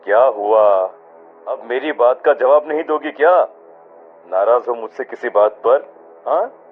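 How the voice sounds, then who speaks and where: A man's voice: medium pitch, lively intonation, a little slow, natural voice. A man playing an earnest young husband with dramatic delivery in a horror cartoon. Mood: friendly concern. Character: caring young husband, bewildered and frightened. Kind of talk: cartoon horror dialogue acting. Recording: muffled audio with faint music.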